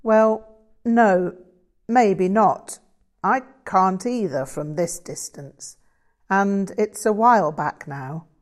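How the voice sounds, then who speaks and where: A modest English musician and painter from a novel , voiced by a woman reader. He explains himself wryly and thoughtfully, always playing himself down, at a measured pace. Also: medium pitch, voice pushed up, close to an everyday voice. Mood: wistful, resigned. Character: Vic, self-deprecating English bluesman and painter, wry, thoughtful.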